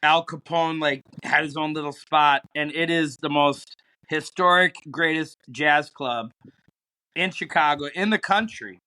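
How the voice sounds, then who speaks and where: A man's voice: a little high, measured pace, bright, forceful tone, low-quality recording. A man in his forties in casual chat, cracking crude jokes and wisecracks.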